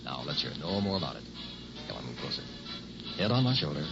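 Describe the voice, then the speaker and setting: A man's voice: very deep voice, sing-song, fast talker, vocal fry, over music, heavily acted. An actor playing a cheerful newlywed husband who teases and reassures his wife, in 1960s radio-drama style. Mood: easygoing. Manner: easygoing, teasing. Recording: muffled old radio recording.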